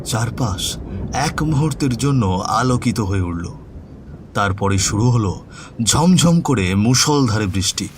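A man's voice: mid-range voice, animated, a little slow, noisy background. A man narrating a scripted horror cartoon story in a dramatic storytelling style.

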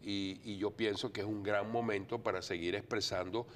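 An older man from Venezuela, a TV studio guest talking earnestly and deliberately, with many fillers. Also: fairly low pitch, fairly even intonation, a bit bright, a little hiss or hum. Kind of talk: TV studio interview.

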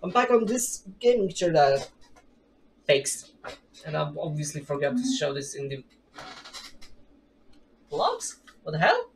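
Chatty slow-talking man streaming a game. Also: on the high side, huge pitch swings, slight background noise, poor audio. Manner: rambling, chatty, self-deprecating, laughs often. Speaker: gaming streamer. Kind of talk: casual let's play commentary. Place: home room with gaming chair.

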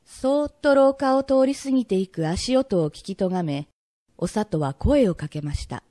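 A woman narrating an old Edo tale for a radio drama in polite, measured storyteller phrasing. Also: mid-range voice, lively intonation, mellow, lightly acted.

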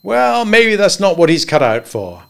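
A man's voice: high voice, sing-song, a little slow, gravelly, pitched above the performer's own voice, heavily acted. A man voicing a jovial older male draft-board official who talks in a breezy, coaxing way. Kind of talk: audiobook character voice.

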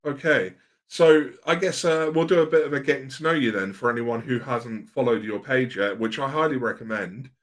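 A man's voice: medium pitch, moderate pace. Podcast host man in his thirties. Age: 30s. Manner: friendly, lightly hesitant with ums and uhs. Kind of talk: casual podcast interview.

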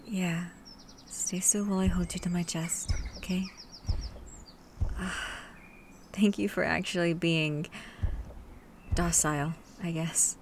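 Soft, slow-talking gentle monster hunter played by a woman. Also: lowish voice. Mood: worried, protective. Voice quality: soft, breathy whispering at times. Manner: hesitant, tender, coaxing. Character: gentle, protective female monster hunter coaxing a small creature. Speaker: voice actor. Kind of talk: ASMR roleplay, soft-spoken. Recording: steady ambient noise with birdsong.

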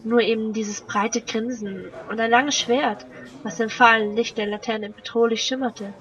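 Woman acting confident young society girl in a radio play, slightly high voice, headstrong. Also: fairly even intonation, a little slow, fairly bright tone, dull audio. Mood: uneasy. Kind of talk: German amateur audio drama dialogue.

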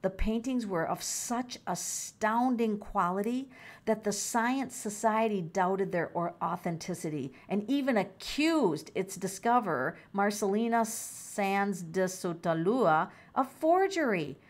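A woman's voice: mid-range voice, expressive, unhurried. A middle-aged woman doing a casual tarot reading, musing aloud, exclaiming and repeating her phrases. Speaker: tarot reader.

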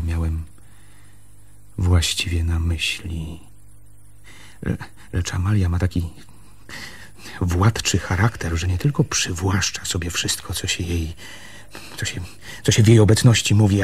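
An actor playing an earnest, suspicious newcomer to a village in a radio drama, hesitant and stammering, with a husky voice. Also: male voice, low voice, a little slow, pauses often, full character voice. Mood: soft, admiring. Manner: hesitant, stammering, breaking off and restarting sentences.

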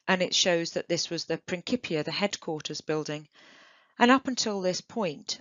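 A woman delivers an enthusiastic keynote on archaeological finds, measured and engaged. Her voice sits quite low. The sound is dry and somewhat dull, recorded through a computer mic for an online conference. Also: dry recording. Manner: enthusiastic, measured, with occasional "um" and restarts. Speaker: archaeologist giving a keynote lecture. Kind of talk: illustrated conference talk over slides. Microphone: computer mic on a video call.

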